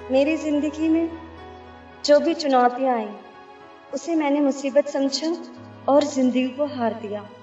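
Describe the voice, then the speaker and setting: An actress in an amateur radio play voicing a remorseful young woman who gives a slow, earnest closing reflection to the audience. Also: high voice. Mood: reflective. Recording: roomy, distant, muffled recording. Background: soft music.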